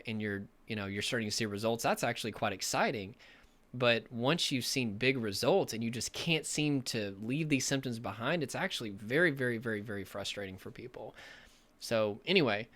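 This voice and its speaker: A young man speaking as a recovery coach, hoarse and losing his voice from illness, talking in an earnest, rambling way with many fillers. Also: medium pitch, a bit bright. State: losing his voice, a bit sick. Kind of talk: casual direct-to-camera talk.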